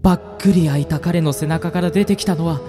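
A man's voice: fairly high pitch, fairly quick, over music, lightly acted. Man playing a timid young gym worker, very reverberant. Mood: tense narration. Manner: timid, startled. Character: timid young male part-time gym worker, narrator. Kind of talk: scripted first-person radio drama narration.

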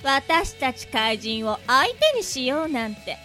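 A woman's voice: sits high, sing-song, slow, bright, forceful tone, soft background music, full character voice. A woman playing a haughty, ladylike, would-be seductive female monster in an amateur audio drama. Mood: imperious. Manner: ladylike, imperious.

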